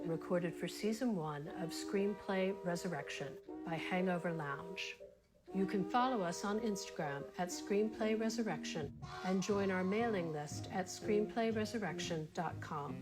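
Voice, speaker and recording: A woman's voice: lowish voice, normal speed. A woman announcer reading a scripted podcast promo. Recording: music under the voice.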